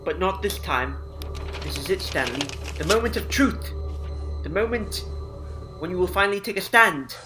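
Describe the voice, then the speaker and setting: A man's voice: high voice, expressive, moderate pace, long pauses, sharp tone, soft background music. A man voicing a theatrical, ominous narrator in a comedy sketch. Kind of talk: parody narration.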